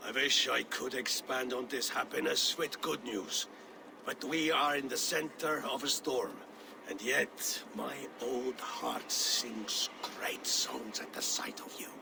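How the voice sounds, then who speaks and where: Gravelly old viking chief, slow, lively pitch, proud and fatherly, ambient noise behind. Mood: warm. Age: older. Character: old frail Norse jarl, proud warrior chieftain. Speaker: aging jarl of a Norse clan. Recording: game audio with ambient noise.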